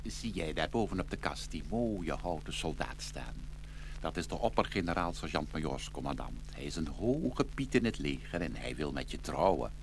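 A man's voice: rumbling low, animated, moderate pace, slight background noise. An actor playing a stern, blustering old Chinese porcelain grandfather doll, pompous and scolding, in an audio fairy tale. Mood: pompous, commanding.

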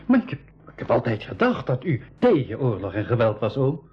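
Cautious young nephew, medium pitch, hesitant, questioning, radio play, dull recording. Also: male voice, huge pitch swings, brisk, husky, heavily acted. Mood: anxious, doubtful. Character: worried, cautious young boy, nephew on an expedition. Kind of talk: 1980s school radio drama acting.

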